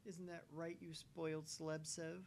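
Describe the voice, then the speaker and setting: A man's voice: a little high, completely flat delivery, moderate pace, vocal fry, a little mellow, slight background noise, voice pushed up. Man acting a pompous, mocking young scientist. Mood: mocking, grandiose. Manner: grandiose, mocking. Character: pompous, self-important young male mad-scientist type from visual novel.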